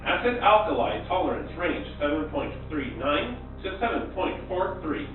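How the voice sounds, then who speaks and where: A man's voice: on the high side, expressive, moderate pace, lightly acted. Man voicing tinny, echoing lab computer. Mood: impersonal readout. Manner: formal, clipped readout. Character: laboratory computer reading out errors and results. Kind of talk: amateur radio play acting. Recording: tinny, very echoey, distant, music underneath.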